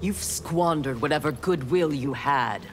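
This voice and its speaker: A woman's voice: low-pitched, measured pace. A woman playing a proud, cold female ninja warrior and engineer in a video game, speaking in curt, threatening lines. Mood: cold disdain. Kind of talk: video game fight intro dialogue. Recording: music behind.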